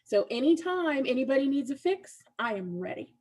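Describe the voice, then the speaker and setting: A woman's voice: high voice, very animated, normal speed, darkish tone. A woman who grew up in the American South, chatting in an enthusiastic way in a casual panel chat.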